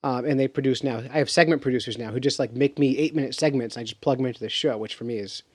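A man who hosts a podcast, speaking in a measured, deliberate way. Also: mid-range voice, dry recording. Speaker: podcast host. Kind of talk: casual podcast chat.

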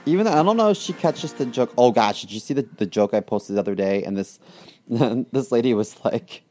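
A man's voice: mid-range voice, expressive, a little fast, a little mellow, faint music behind. A man co-hosting a comedy podcast, telling crude jokes and laughing often in casual chat. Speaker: podcast co-host.

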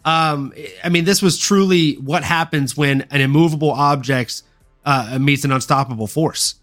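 Bright, punchy man hosting a podcast. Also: fairly high pitch, moderate pace, faint music behind. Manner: informal, slangy, showman-like intros. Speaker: podcast host. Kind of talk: upbeat sports podcast talk and fight recap.

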